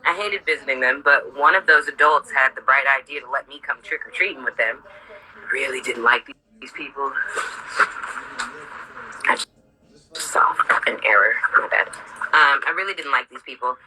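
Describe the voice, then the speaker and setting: A woman with a husky voice reading her own memoir aloud informally, candid, sardonic and sweary, breaking off for asides. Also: a bass voice, normal speed, long pauses, pushed and bright, low-quality recording.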